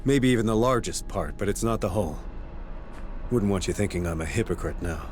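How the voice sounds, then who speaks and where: A man's voice: lowish voice, lively intonation, brisk, darkish tone, slight background noise, close to an everyday voice. An actor playing a middle-aged Marine sergeant in a game, blunt and sardonic, carrying guilt as a father. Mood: troubled. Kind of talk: cinematic game voice acting.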